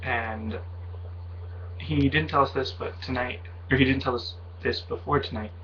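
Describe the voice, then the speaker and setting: A man's voice: medium pitch, fairly even intonation, unhurried, fairly bright tone. A young man of Mexican heritage talking in a rambling, hesitant way in a casual vlog, with many fillers and self-corrections. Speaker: video blogger. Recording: slightly dull audio with faint background hiss.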